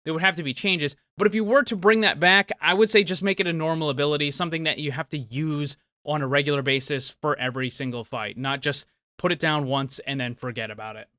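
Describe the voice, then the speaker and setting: Bright, higher-pitched man hosting casually. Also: quick pace, dry recording, clean studio sound. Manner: conversational, opinionated, thinks aloud. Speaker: host of a gaming question-and-answer show. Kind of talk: casual video commentary answering viewer questions.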